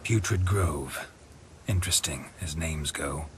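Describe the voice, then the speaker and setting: An actor playing a stoic, grizzled monster hunter in a fantasy video game, with a gravelly voice and a terse, deadpan delivery. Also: male voice, takes it slowly, a little hiss or hum. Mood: dry, probing. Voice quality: gravelly, husky low voice. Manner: terse, deadpan, clipped questions. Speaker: player character in a fantasy role-playing game.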